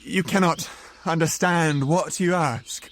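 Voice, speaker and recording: An actor playing a tormented, guilt-ridden young scientist in an audio drama, anguished and theatrical. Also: male voice, fairly high pitch, steady tone, slow, slight background noise. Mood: horrified, defiant.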